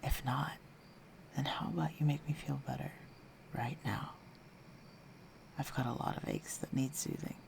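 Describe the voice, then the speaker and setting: A man playing a flirtatious, teasing villain with a secretly tender side, speaking intimately to the listener in an audio roleplay. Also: medium pitch. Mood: seductive, hushed. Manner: teasing, coaxing, breathy murmurs.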